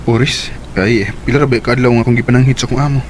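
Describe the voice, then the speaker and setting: A man's voice: medium pitch, fairly even intonation, racing, a little hiss or hum, close to an everyday voice. An actor with a Cebuano accent playing a devoted young working man who turns into a jealous boyfriend, speaking in an emotive, pleading way. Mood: tender. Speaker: radio drama actor. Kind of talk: Cebuano radio drama acting.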